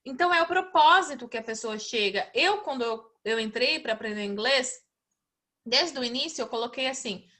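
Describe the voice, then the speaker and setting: Brazilian woman, English teacher host, bright high tone, agreeable casual chat. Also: animated, normal speed. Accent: Brazilian Portuguese. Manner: agreeable, many filler tags and restarts. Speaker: English teacher hosting a mentoring talk. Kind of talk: casual conversation.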